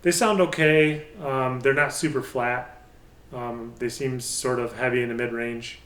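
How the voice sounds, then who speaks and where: A man giving casual, unscripted product talk as a hobbyist reviewer, thinking aloud in a halting way. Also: medium pitch, fairly even intonation, fairly bright tone. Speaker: hobbyist speaker reviewer and channel host. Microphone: pair of budget stereo microphones. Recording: echoey, roomy sound.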